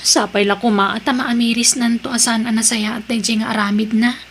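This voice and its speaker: Woman playing a high-voiced, polite young Ilocano employee. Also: steady tone, fairly quick, fairly bright tone, a little hiss or hum. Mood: hurt, resigned. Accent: Filipino, Ilocano. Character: polite, hurt young female junior employee. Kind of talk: Ilocano audio drama acting.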